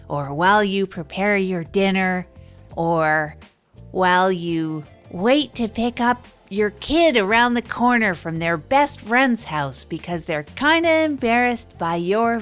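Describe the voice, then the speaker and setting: Female actor as hapless radio show host; earnest, halting, self-deprecating monologue over faint music. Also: medium pitch, expressive. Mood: upbeat, rambling. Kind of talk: improvised comedy audio drama monologue.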